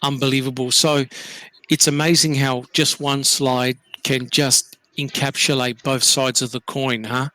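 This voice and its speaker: A man's voice: medium pitch, flat delivery, unhurried, bright, forceful tone, dry recording. An Australian man hosting a casual group discussion, leading the talk with questions and lots of fillers. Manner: leads with questions, many fillers. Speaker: podcast host.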